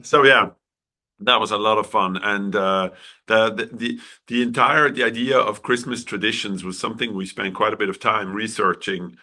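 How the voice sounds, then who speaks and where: A man from Switzerland, a film director answering interview questions in a halting way with many restarts, clearing his throat as his voice goes. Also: fairly low pitch, fairly even intonation, bright, forceful tone.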